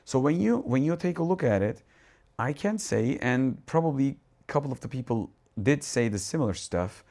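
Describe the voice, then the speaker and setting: A man's voice: mid-range voice, lively intonation, normal speed, darkish tone. Turkish-accented man demoing gear live. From Turkey. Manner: thinking aloud, restarts and asides while adjusting settings. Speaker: tech reviewer. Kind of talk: casual live product demo. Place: dark home cinema room.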